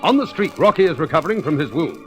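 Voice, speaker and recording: A male narrator delivers a scripted recap for an old-fashioned radio serial. His voice sits fairly high for a man. Music plays behind him. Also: big pitch swings, quick pace, fairly bright tone.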